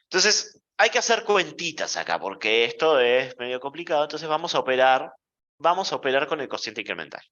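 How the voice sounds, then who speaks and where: A man giving a university math lecture in a playful, deliberate way, checking in with the class often. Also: medium pitch, very animated, pushed and bright, highs cut off.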